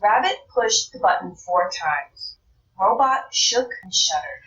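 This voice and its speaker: A woman reading a children's story aloud in a deliberate, expressive narrating style. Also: mid-range voice, dull audio. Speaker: storybook reader. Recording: roomy, distant mic, faint noise.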